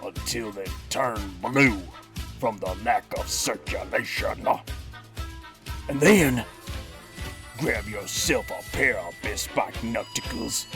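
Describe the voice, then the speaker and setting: A man's voice: medium pitch, slow, fairly bright tone, faint music behind. A man voicing a cocky, menacing cartoon master criminal who talks in theatrical street slang while presenting a scripted toy review. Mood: menacing, playful. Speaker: host of a toy figure review. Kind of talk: scripted in-character review with radio-drama flair.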